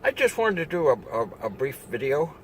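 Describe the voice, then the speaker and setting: A man's voice: fairly high pitch, big pitch swings, normal speed, slight background noise, poor audio. An older man from upstate New York, introducing himself as a solar salesman in a rambling, chatty way, full of fillers and restarts. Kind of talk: casual self-introduction video.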